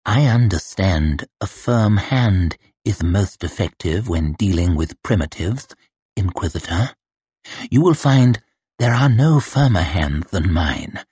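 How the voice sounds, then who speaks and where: A man voicing a bitter, crippled male torturer who talks in a low, quiet, cutting way. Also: animated, slow pace, slightly rough, dry recording, full character voice. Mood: menacing.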